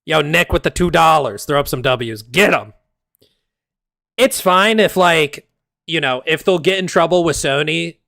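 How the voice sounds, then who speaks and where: Hoarse, gravelly ranting male streamer. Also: on the high side, a bit bright, no room sound, studio quality. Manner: heated, exasperated, profane. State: hoarse, losing his voice. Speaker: game streamer. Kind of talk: casual livestream rant.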